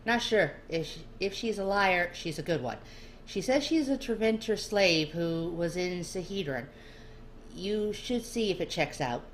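A woman's voice: lowish voice, lively intonation, measured pace, voice pushed up. A woman voicing a hulking, challenging male giant who works as a spy, in a storybook reading. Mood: gruff assessing. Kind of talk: storybook character dialogue. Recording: faint background noise.

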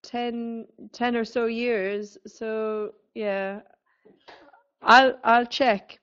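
Facilitator on a call, higher pitch, flat and slow, muffled line. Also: female voice, smooth. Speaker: project facilitator. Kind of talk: meeting chat. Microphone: video call. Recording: dull lo-fi call audio.

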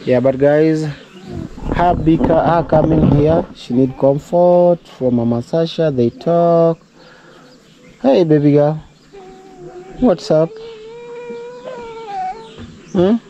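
A man's voice: a little high, takes it slowly, pauses often, lo-fi sound, background noise. A young Kenyan man with a Kenyan accent, chatting casually to his viewers in a home vlog, speaking English as a second language and sometimes slipping into prayerful encouragement. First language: not English. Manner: chatty, preachy, addresses viewers as guys. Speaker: vlogger. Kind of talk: casual vlog talk to camera. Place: outdoors at a rural home.

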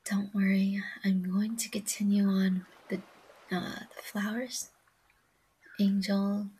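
Breathy, slow whispering woman. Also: mid-range voice, flat delivery, poor audio. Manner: soft, confiding, addressing listeners directly. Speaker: ASMR creator and audio-log host. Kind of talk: ASMR-style whispered casual rambling monologue.

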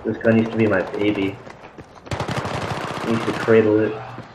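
A young man casually chatting and joking while playing a shooter game, rambling with deadpan asides. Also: lowish voice, unhurried, noisy background. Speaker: gameplay commentator. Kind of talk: casual gaming chat. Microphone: desktop mic with audible clicking. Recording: echoey room, loud gunfire behind. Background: video game gunfire.

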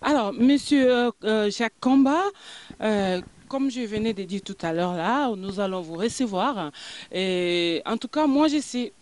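A woman's voice: medium pitch, expressive, measured pace, darkish tone. A woman in her forties hosting an outdoor interview in a garden with a handheld mic, hesitant with many fillers and emphatic rising questions. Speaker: interviewer host.

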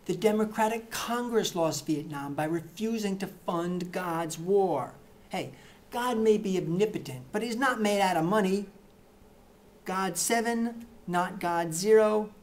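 A middle-aged man reading satirical excerpts to camera in a deadpan, mock-earnest way, in a plain indoor room. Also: high voice, unhurried. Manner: mock-earnest, punchy, with gestures. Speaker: reader of satirical book excerpts.

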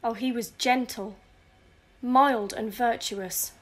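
A woman's voice: a little high, fairly even intonation, slow. A woman playing a grieving, furious widow in a theatrical, scornful verse audio drama. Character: grieving, furious widow cursing her husband's killer.

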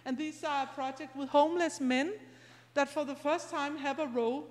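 A middle-aged woman gives an informal academic talk as a university lecturer, speaking in a halting, conversational, explanatory way into a handheld microphone. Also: sits high, fairly even intonation. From Scandinavia. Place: large lecture room, indoors. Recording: reverberant lecture hall PA.

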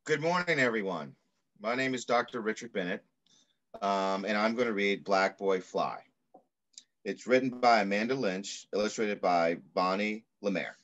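A middle-aged man reading aloud in the style of a children's picture book, with measured, deliberate phrasing. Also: fairly low pitch, a bit bright. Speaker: pediatrician reading a story aloud. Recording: muffled video call audio.

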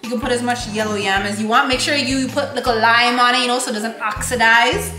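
A woman's voice: on the high side, fairly even intonation. A young woman in her home kitchen, chatting playfully and teasing while she walks through a recipe. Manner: playful, teasing, quick asides. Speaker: cook showing a recipe. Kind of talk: casual cooking vlog chat. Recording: echoey kitchen with music under.

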